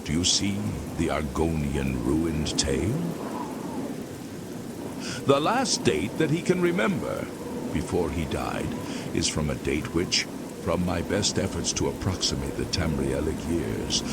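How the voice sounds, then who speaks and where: An actor playing an ancient, weary former priest damned to an endless abyss, speaking slowly and solemnly. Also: male voice, extremely low pitch, wildly swooping pitch, creaky, heavy background noise, exaggerated voice. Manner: slow, solemn, drawn-out delivery. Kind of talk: game character dialogue. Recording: loud rain and thunder behind.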